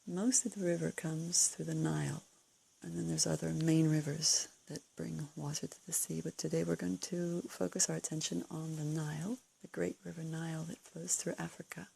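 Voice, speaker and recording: A woman leading a guided meditation, giving calm, unscripted instructions in a soothing, breathy way with gentle pauses. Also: low voice, a little hiss or hum, low-quality recording. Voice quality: breathy, husky, creaky.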